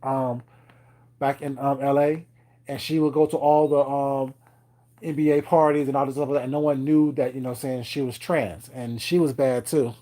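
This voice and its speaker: A man's voice: medium pitch, level tone, moderate pace, dark tone. Chatty man, fillers and teasing. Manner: rambling, many fillers and "you know what I'm saying"; laughs often, teasing viewers. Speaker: live stream host chatting with viewers. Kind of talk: casual chat.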